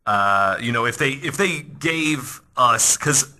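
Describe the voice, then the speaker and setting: A man hosting a podcast, telling stories in a casual, animated, conversational way that often restarts mid-thought. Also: mid-range voice, takes it slowly. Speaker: podcast host. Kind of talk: casual storytelling podcast talk.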